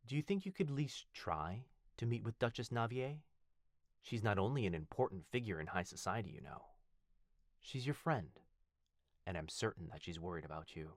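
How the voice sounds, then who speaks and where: A man playing a devoted head butler who speaks formally and fondly, with gentle teasing, in an ASMR roleplay. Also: lowish voice, lively intonation, moderate pace, no echo, studio quality, close to an everyday voice. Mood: warm, devoted. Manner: formal, deferential, fond, softly teasing. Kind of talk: ASMR boyfriend roleplay, scripted acting.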